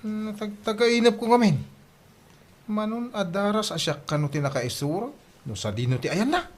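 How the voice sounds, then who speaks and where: Man playing a lazy young schemer, Ilocano accent, wildly lively pitch, whiny, boastful, radio comedy drama. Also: moderate pace. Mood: sheepish, excited.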